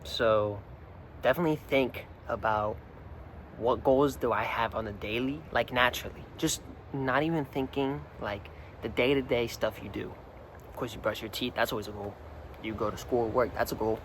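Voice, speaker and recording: A young man talks straight to his phone outdoors, a little hoarse, his earnest thoughts rambling and circling back on themselves. His voice sits at a middling pitch for a man. Faint traffic and outdoor ambience hum behind him. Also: steady tone, normal speed. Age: young adult. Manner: rambling, earnest, repeats words, many "like"s. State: slightly hoarse from singing the night before. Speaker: vlogger. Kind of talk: casual talk to camera. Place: outdoors, hot and sunny.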